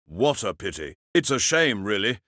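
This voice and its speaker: A man's voice: on the low side, very animated, normal speed, sharp tone, dry recording, studio quality. A man playing a resentful mage lecturer villain in an audio drama, mocking and malicious, drawing out his words. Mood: mock pity.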